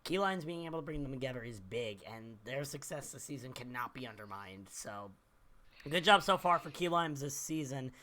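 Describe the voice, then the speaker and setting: Hoarse man hosting an esports podcast. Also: mid-range voice, lively intonation, normal speed, sharp tone. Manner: reads nominee lists, jokes with co-hosts. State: hoarse, losing his voice. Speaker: podcast host. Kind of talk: casual esports awards commentary.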